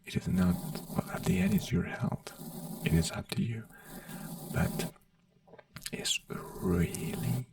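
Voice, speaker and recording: A man in an ASMR doctor roleplay, gently whispering health advice in halting, non-native English. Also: medium pitch, wildly swooping pitch, gravelly, distant mic. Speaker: ASMR creator playing a doctor.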